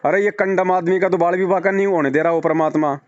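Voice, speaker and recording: Male, fairly high voice, argumentative and insistent, dull phone-call recording. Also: steady tone, fast talker, dry recording. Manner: argumentative, rhetorical questions, repetitive emphasis. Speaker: religious devotee speaking to a follower. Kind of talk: persuasive phone conversation.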